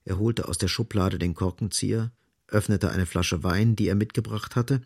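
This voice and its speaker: Low, gravelly male narrator. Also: fairly even intonation, normal speed, darkish tone, dry recording, studio quality. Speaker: narrator of a radio drama. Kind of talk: literary scripted narration.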